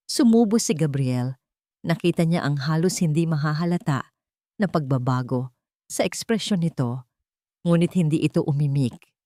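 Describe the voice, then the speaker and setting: Female narrator, Filipino accent. Dark, soft-edged low tone, dry sound, scripted dramatic storytelling. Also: no room sound.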